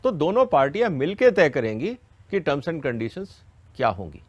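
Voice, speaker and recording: A man's voice: a little high, big pitch swings, normal speed, a little mellow, dry recording, lo-fi sound. A man giving an educational question-and-answer explanation, didactic and step by step, often checking that listeners follow. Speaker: expert explaining a law to farmers.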